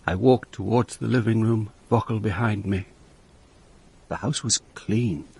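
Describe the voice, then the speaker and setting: An actor playing an observant, brusque police inspector in a radio drama, with deliberate, clipped phrasing and a wry touch. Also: male voice, lowish voice, creaky, darkish tone, husky, heavily acted. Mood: brooding, observant. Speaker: lead actor and narrator. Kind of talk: radio drama acting and first-person narration.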